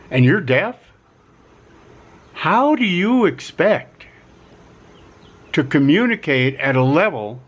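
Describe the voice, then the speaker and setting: A middle-aged man giving a casual vlog pitch from a quiet rural home, talking in a folksy way, repeating himself and stressing key words. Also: lo-fi sound. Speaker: vlogger offering relocation advice.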